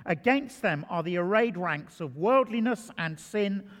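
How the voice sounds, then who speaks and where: A man's voice: sits high, lively intonation, a little slow, fairly bright tone. Emphatic man preaching in a reverberant church. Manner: storytelling, emphatic. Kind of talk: sermon. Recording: long hall reverb.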